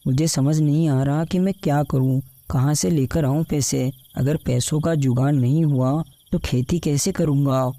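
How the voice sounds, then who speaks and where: A man playing a muffled-dark, worried poor village farmer. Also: on the high side, fairly even intonation, moderate pace, hardly any pauses, no echo, natural voice. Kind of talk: Hindi animated bedtime story dialogue.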